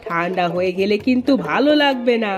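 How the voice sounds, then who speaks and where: A woman's voice: mid-range voice, expressive, fast talker, over music, lightly acted. A woman voicing a spiteful, scheming neighbourhood aunt in a cartoon story, with theatrical, pointed delivery. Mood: sugary. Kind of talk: Bengali cartoon story dialogue.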